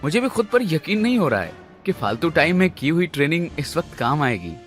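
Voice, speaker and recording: An actor playing a calm, defiant and modest young man in an audio drama, reasoning with composure. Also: a little high, fairly quick, faint music behind.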